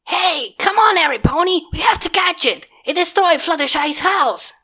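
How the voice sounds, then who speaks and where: A reader voicing a brash, excitable young female pegasus pony in a cartoon fan fiction story. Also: very high voice, moderate pace, voice pushed up, exaggerated voice. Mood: urgent, defiant.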